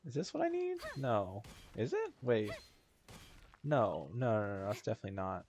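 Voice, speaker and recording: A man doing casual, informal gameplay commentary on a livestream, thinking out loud and swearing freely. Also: lowish voice, sing-song, normal speed. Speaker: game streamer. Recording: game music behind the voice. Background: video game music.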